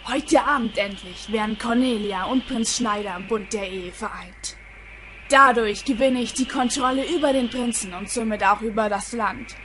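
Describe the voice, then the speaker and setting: Woman acting a scheming king over clear noise. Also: a little high, normal speed, a bit bright, lightly acted, poor audio. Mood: gloating, menacing. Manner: theatrical, menacing. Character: scheming, haughty villain king in a stage play.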